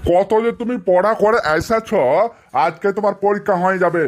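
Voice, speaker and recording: A man's voice: high voice, a little fast, lightly acted. A man voicing a strict, sarcastic village schoolteacher in a comedy cartoon, scolding in colloquial speech with a rural West Bengal accent. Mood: sarcastic, stern.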